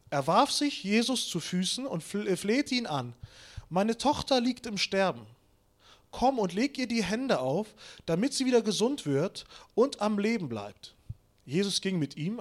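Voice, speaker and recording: A man in his late twenties, originally from Kazakhstan, preaching a sermon with lively storytelling and self-mocking humor while walking around. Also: sits high. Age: 20s. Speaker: pastor.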